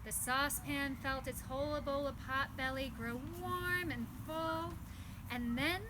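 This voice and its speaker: A woman narrating a puppet show live outdoors as a storyteller, expressive and deliberate. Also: sits high, noisy background. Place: outdoors in a park.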